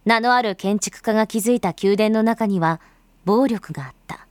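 A woman's voice: mid-range voice, moderate pace, darkish tone, no echo, clean studio sound, lightly acted. A woman performing as a grave storyteller, giving scripted dramatic narration in solemn, literary phrasing. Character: grave storyteller recounting a bloody uprising.

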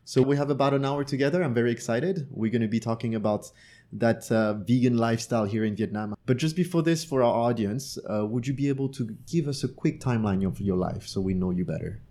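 A man's voice: medium pitch, fast, mellow. A man in his thirties, from France, co-hosting a podcast interview in a casual, friendly, self-deprecating way. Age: 30s. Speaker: podcast co-host.

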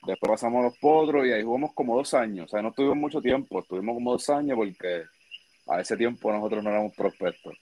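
A Puerto Rican man in his twenties, a baseball pitcher, chatting casually in an interview with a relaxed, laid-back manner. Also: fast. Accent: Puerto Rican Spanish. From Puerto Rico. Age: 20s.

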